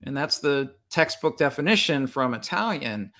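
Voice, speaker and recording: A man's voice: mid-range voice, very animated, normal speed, bright, forceful tone. A man, a music teacher guesting on a book chat, talking in a reflective way with small restarts.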